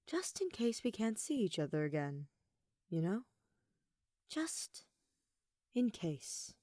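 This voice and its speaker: A woman voicing a calm, guarded teenage boy accomplice in a story reading, speaking in a measured, plain way. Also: mid-range voice, dry recording, studio quality, pitched above the performer's own voice. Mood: soft, intimate.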